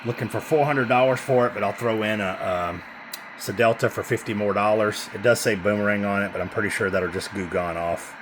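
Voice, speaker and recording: A man casually demonstrating a radio he is selling, talking in an informal, halting, hands-on way. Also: on the low side. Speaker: CB radio seller. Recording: noisy room with radio hiss.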